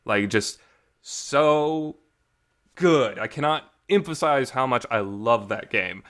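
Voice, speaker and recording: A man's voice: medium pitch, animated, slow, fairly bright tone. A man hosting a casual, rambling review, enthusiastic and emphatic, often restarting his sentences, in a reverberant homemade recording. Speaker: host reviewing visual novels.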